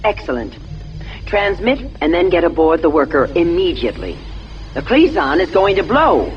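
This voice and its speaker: An actress playing a resolute warship intelligence officer in a sci-fi game, measured and military in delivery. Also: female voice, lowish voice, sing-song, lo-fi sound. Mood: commanding. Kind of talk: scripted sci-fi game dialogue. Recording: loud music under the voice.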